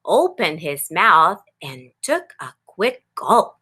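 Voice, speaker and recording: A woman pre-K teacher doing an expressive read-aloud for young children, instructive and addressing them with questions. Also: lowish voice, slow, husky.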